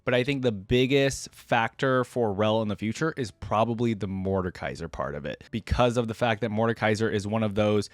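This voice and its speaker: A man's voice: fairly low pitch, brisk, hardly any pauses, no echo. A man hosting a reaction video, talking casually and off the cuff in a conversational, rambling way that often checks for agreement.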